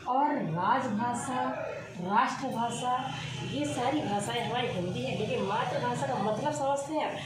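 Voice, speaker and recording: A woman teaching a grammar lesson, asking questions and answering them herself, repeating the key points. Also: a little high. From India. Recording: echoey room, far from the mic, steady noise.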